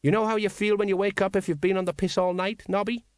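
A man voicing a gloomy, plain-spoken older watch sergeant, flat and colloquial, in a storybook reading. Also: high voice, fast, dry recording, clean studio sound, pitched above the performer's own voice, close to an everyday voice. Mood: glum explaining.

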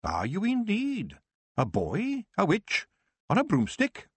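A nosy elderly witch who runs a shop, pitched well up and leaning on her questions, as a male reader puts her on. The husky voice swoops high on every probing line. The sound is close and dry, with no room around it. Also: huge pitch swings, no echo, heavily acted. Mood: brisk, probing. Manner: brisk, probing, motherly.

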